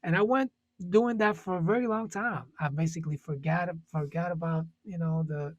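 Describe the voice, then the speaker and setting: A man from Puerto Rico speaks in a husky, slightly raised voice, thinking aloud about his music. He circles back and restarts often, using fillers as he searches for words. The sound is close and dry. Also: a little high, normal speed, dry recording, dull audio. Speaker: jazz musician, panelist. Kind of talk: casual panel discussion.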